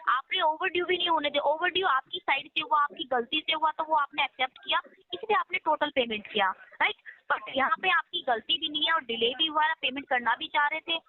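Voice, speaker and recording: A woman's voice: high-pitched, a little fast, few pauses. A woman working as a loan recovery call-centre agent, talking persuasively and insistently on the phone, explaining politely and often checking for agreement. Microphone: telephone line. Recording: tinny phone call recording, faint music.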